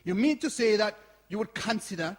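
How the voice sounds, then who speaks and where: High-pitched, indignant older man played by an actor. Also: animated, fairly quick. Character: principled, indignant older man defending a youth community centre. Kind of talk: stage play dialogue. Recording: echoey live hall performance.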